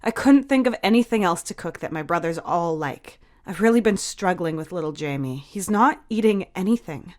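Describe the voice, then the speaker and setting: A woman's voice: lowish voice, animated, moderate pace, few pauses, lightly acted. Woman acting frazzled, defensive young woman. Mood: weary, sarcastic. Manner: flustered, blurting.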